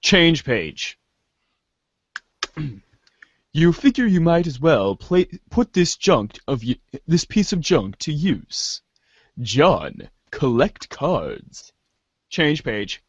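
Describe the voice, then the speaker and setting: A man's voice: a little high, sing-song, extremely slow, long pauses, breathy edge, no room sound. A man hosting and narrating an amateur reader's theater, in a muffled lo-fi recording. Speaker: host and narrator.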